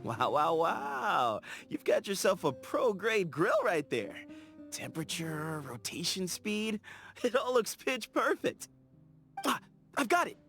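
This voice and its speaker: Young game scout, upbeat, playful, lively voice, gravelly edge. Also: male voice, high voice, heavily acted. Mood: excited. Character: cheerful easygoing young desert scout man. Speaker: game character. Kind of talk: game voice lines.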